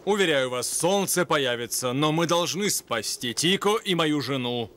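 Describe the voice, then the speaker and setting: A man voicing a resolute, gentlemanly lion explorer in a dubbed cartoon, courteous and determined. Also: fairly high pitch, lively intonation, normal speed, slight background noise.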